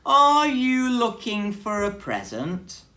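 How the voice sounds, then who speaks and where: A woman voicing a small, eager, cheeky male mouse with squeaky exclamations in a children's storybook reading. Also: huge pitch swings, slow, pushed and bright, heavily acted. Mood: sheepish. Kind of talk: children's storybook read-aloud.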